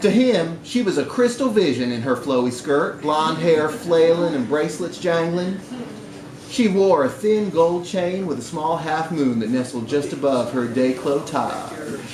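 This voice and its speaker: A man with a slightly high voice reads a short story to a live event in an expressive narrating style. The sound is very roomy, with some background noise from the bar. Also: a little slow. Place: indoor bar reading event.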